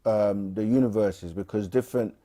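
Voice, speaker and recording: A man co-hosting a casual livestream, reading viewer questions aloud and answering them with frequent pauses and fillers. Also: lowish voice. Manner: relaxed, frequent "um" and restarts, reads questions aloud.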